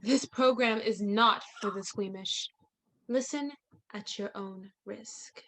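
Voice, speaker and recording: A woman's voice: mid-range voice, slow pace, background noise, close to an everyday voice. A woman playing an eerie, imperious hostess introducing a 1940s radio thriller, theatrical and menacing. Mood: ominous.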